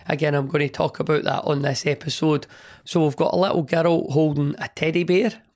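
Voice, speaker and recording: A man hosting a hobby podcast, chatting in a rambling, enthusiastic way with a hoarse, partly lost voice from a cold. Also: a little high. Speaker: podcast host. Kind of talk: casual hobby podcast monologue.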